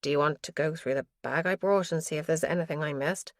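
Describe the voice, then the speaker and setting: Give me a brisk, kind woman detective, as a woman narrator reads her, low-voiced and dry. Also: no room sound. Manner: brisk, helpful.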